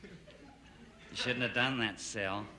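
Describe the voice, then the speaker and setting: A man's voice: medium pitch, drawn-out words. A man playing a smug, unscrupulous insurance agent in a broad comedy, talking in a sarcastic, haughty way. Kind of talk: broad situation comedy acting. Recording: very noisy, hissy recording.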